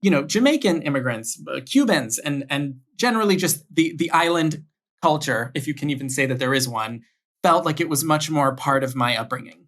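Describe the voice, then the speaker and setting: A man's voice: fairly high pitch, expressive, a little fast, a bit bright. A young man from Miami, a podcast guest, talking through his background in a thoughtful way and often restarting his sentences. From Miami, United States. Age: young adult. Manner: thoughtful, with frequent restarts and repeated words. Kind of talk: conversational interview.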